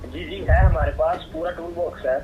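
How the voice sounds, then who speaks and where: Man, Pakistani accent, highish voice, exasperated and sarcastic, muffled phone call. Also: moderate pace. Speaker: medicine supplier taking a phone order. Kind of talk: phone conversation. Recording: dull, noisy phone line.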